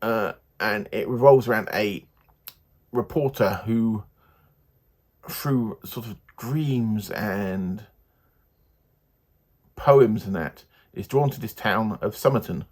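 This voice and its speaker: A man giving a casual, unscripted book review in a hesitant, halting, rambling way. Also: on the low side, a little mellow.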